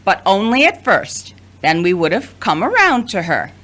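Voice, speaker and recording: A woman playing a domineering, wry mother of adult children in an audio drama, with deliberate, theatrical delivery. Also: mid-range voice, very animated, a bit bright. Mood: pointed, coaxing.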